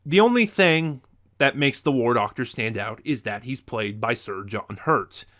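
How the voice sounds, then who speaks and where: A man giving an opinionated review, speaking in a measured way with emphatic stresses on key words. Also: medium pitch, expressive, fairly bright tone.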